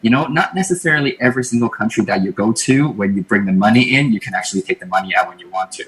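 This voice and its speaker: Male podcast guest from Taiwan, lower voice, thoughtful, explanatory. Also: fast, a bit bright, rough recording. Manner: thoughtful, explanatory, repeats words while thinking. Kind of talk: casual interview talk.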